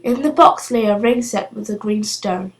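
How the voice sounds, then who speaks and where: A woman's voice: medium pitch, moderate pace, low-quality recording. A woman reading a children's storybook aloud as scripted narration. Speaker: storybook reader.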